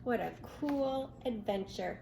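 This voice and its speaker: Slow, higher-voiced woman reading to children. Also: creaky, a little hiss or hum, low-quality recording. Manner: expressive, asks the listener questions. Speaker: children's storybook reader. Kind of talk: read-aloud storytelling for young children.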